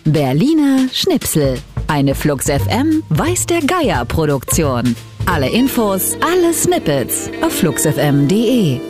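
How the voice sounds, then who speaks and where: A woman's voice: fairly low pitch, wildly swooping pitch, slow pace. A woman announcing the station and segment in a showy jingle style. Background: jingle music.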